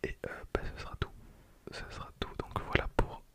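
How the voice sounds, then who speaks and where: A person in their twenties whispering casual ASMR commentary close to the microphone, with a breathy, unvoiced delivery. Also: moderate pace. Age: 20s. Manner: hushed, casual asides. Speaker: ASMR video creator. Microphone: close handheld-recorder microphone.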